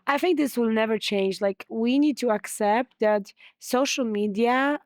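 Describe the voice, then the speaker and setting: Polish woman guest on a chat show, kinda high voice, dry close sound, lots of "you know". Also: measured pace, no echo. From Poland. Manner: frequent "you know" fillers, earnest. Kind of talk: casual interview chat.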